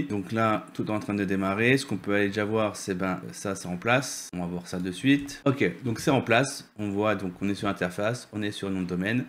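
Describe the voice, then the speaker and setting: A man presenting a casual, unscripted software tutorial, speaking hesitantly, repeating words and checking in with the listener. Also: fairly low pitch, a little hiss or hum. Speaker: tutorial video host.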